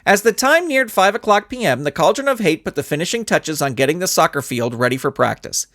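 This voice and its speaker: A man narrating a scripted comic story in an expressive storytelling manner. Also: sits high, a little fast, no room sound, studio quality. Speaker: author and narrator.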